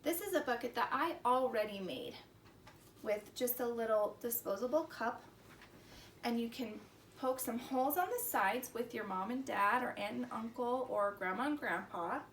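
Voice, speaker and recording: A woman hosting a story time for young children, talking in simple, animated, exclaiming phrases. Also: a little high, moderate pace. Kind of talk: expressive read-aloud to preschool children. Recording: roomy echo, distant mic, background noise.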